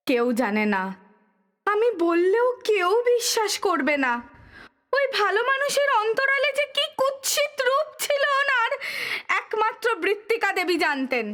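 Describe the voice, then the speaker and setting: A woman playing a bitter, grieving young survivor of abuse in an audio drama, with emotional, halting delivery. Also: extremely high pitch, sharp tone, exaggerated voice. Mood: tearful, anguished. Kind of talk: Bengali audio drama acting.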